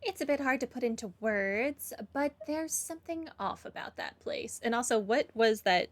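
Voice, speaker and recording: Woman, gamer, casual playthrough commentary, highish pitch, expressive swings. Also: moderate pace.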